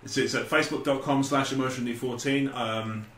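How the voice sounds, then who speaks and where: A man's voice: medium pitch, steady tone, brisk, a bit bright. A man hosting a podcast in a casual, chatty style. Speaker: podcast host. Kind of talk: casual chat. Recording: roomy echo with background noise.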